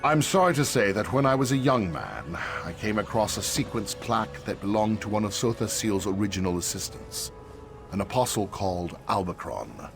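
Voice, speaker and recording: A weary old man, voiced by an actor in a fantasy game, grave and regretful. His low voice moves in lively swings, with clear music behind it. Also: moderate pace. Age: older. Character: weary, cautious old male pilgrimage survivor, regretful.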